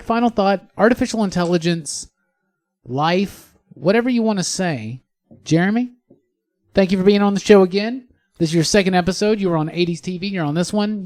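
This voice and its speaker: A man's voice: high-pitched, darkish tone. A man hosting a podcast in a conversational, effusive way, rephrasing his points for emphasis. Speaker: podcast host.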